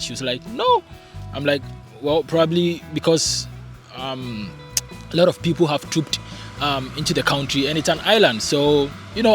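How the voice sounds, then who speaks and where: A young man from Ghana chatting casually in a vlog, animated and full of rhetorical questions and fillers, recording at home. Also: a little high. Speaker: vlogger. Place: home in hot, humid climate.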